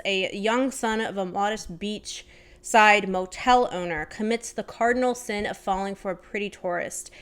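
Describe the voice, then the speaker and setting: A woman with a smooth, crisp voice at an average pitch presents book recommendations. She speaks in an informal, chatty vlogger style, thinking aloud in a slightly tentative way as she goes.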